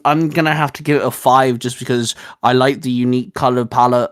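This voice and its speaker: A man in his twenties with a medium-pitched voice, recorded dry. He co-hosts a casual geeky discussion and explains his opinions in an enthusiastic, rambling way. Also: no room sound. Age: 20s. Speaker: co-host of a fan discussion show. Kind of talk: casual geeky review chat.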